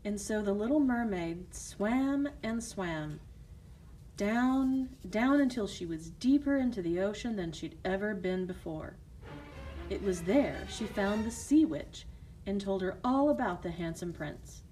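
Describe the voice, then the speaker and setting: Mellow woman storyteller. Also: medium pitch, animated, a little slow, faint music behind, poor audio. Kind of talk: scripted fairy tale narration for children.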